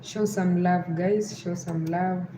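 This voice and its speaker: A young woman with a Western Kenyan accent hosts a casual livestream, her voice sitting slightly low. She loops back again and again to pleas for likes and subscribers. The sound is roomy and echoey, with clear background noise. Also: fairly even intonation, slow pace. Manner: repeats pleas to subscribe over and over. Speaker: livestream host.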